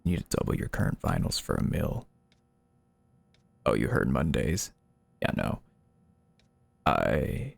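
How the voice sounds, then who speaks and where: A man live streaming casual chat, reading out viewer messages and answering them, with his voice lowered by a deep filter. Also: vocal fry, a little hiss or hum. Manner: reads chat messages aloud and replies.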